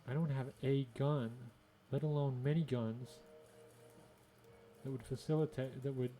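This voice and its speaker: A man's voice: mid-range voice, fairly even intonation, a little slow, warm tone, slight background noise. An Australian man giving casual gameplay commentary, musing and thinking aloud to himself. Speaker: gaming video host.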